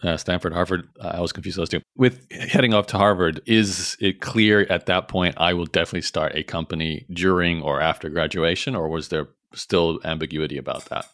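A man's voice: low-pitched, steady tone, fairly quick, hardly any pauses, fairly bright tone, dry recording, clean studio sound. A man hosting a podcast interview, asking long conversational questions. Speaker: podcast host.

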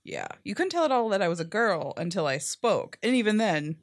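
A young woman with a fairly deep voice, co-hosting a podcast and telling stories in a casual, animated way with many "like"s. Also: a little fast, dry recording, clean studio sound. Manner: animated storyteller, says "like" often. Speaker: podcast co-host. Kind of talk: casual chat.

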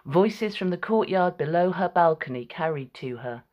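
A woman's voice: fairly low pitch, lively intonation, normal speed, darkish tone, highs cut off. A woman narrator reading a scripted, dramatic story.

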